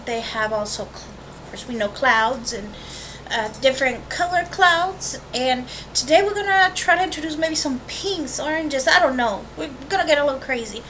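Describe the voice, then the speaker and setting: A high-pitched woman giving a casual, rambling vlog introduction as host of an art channel, recorded on a webcam microphone. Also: normal speed, a bit bright, rough recording. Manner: rambling, informal asides and self-corrections. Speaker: host of a watercolor painting channel. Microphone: built-in webcam microphone. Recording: faint noise on a webcam mic.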